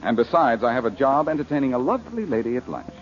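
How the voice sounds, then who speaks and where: A man's voice: medium pitch, big pitch swings, fast talker, dull audio, lightly acted. Male actor, breezy cocky radio hero, wisecracking, swinging intonation; noisy vintage recording. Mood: cool, dismissive. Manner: flippant, breezy, self-assured. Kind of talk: 1940s radio acting.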